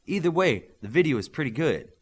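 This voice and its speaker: A man's voice: a little high, big pitch swings, fairly quick, husky, voice pushed up. A man voicing a confident, proud and teasing young female superhero in a storybook reading, with emphatic delivery.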